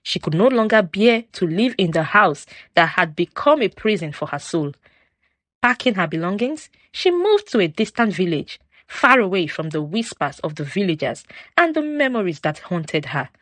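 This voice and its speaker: A woman narrating a folktale from a script in a solemn, expressive, dramatic storytelling style. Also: on the low side, normal speed, no echo.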